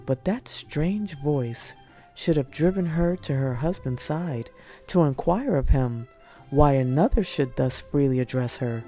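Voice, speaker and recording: A woman narrating religious prose as an audiobook, in a measured, solemn read-aloud delivery. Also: low-pitched, warm tone. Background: music.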